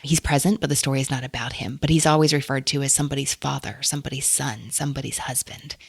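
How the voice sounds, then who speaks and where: A woman's voice: a bass voice, fairly even intonation, quick pace, creaky, husky, dry recording, studio quality. A woman hosting a book podcast, talking casually and enthusiastically about books and laughing often. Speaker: podcast host.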